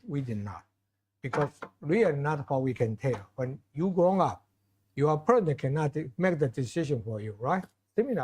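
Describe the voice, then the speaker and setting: Middle-aged male lecturer, Chinese accent, higher-pitched, loose halting delivery full of fillers. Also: darkish tone. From China. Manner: halting, restarts phrases, many fillers, asks audience for questions. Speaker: visiting lecturer. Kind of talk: informal closing remarks to students.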